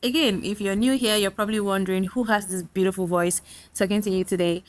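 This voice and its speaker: Nigerian woman hosting a podcast, chatty and upbeat, middle pitch. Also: steady tone, fast talker. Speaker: podcast host. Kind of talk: podcast interview.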